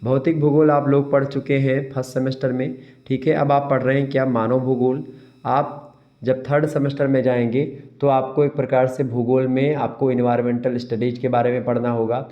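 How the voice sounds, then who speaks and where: A man's voice: mid-range voice, little pitch movement, moderate pace. A man with a sore throat giving an exam-prep lecture, repeating key terms and answering his own questions. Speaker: teacher. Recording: dull lo-fi sound.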